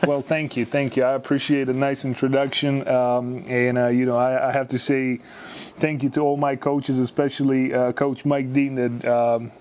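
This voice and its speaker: A man's voice: mid-range voice, level tone, moderate pace, a little mellow. Serbian-accented man, chatty guest. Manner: chatty, many fillers, laughs easily. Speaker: basketball researcher, guest. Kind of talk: casual interview chat. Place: studio.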